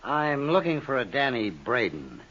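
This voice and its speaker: An American actor playing a sly small-time schemer in a 1950s radio crime drama, insinuating and full of false friendliness. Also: male voice, medium pitch, big pitch swings, normal speed, a bit bright. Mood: mock-friendly. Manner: smooth-talking, insinuating, mock-friendly. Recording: dull, muffled old radio recording.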